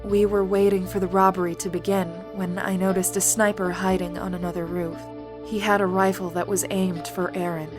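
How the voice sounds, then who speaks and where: A woman acting as a serious young student in an audio drama. She speaks at a medium pitch, restrained and matter-of-fact with a protective streak, over clear music. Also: little pitch movement, a little fast, lightly acted. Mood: reporting, serious.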